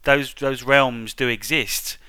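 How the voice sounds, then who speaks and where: A man's voice: medium pitch, steady tone, slow pace, bright, forceful tone, smooth, dry recording. A man hosting a casual discussion, feeling tentatively for his words and checking that he is understood. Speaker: interview host.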